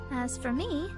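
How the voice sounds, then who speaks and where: A woman's voice: high-pitched, full character voice. A woman playing a proud, dramatic, easily flustered young female astrologer in an anime game.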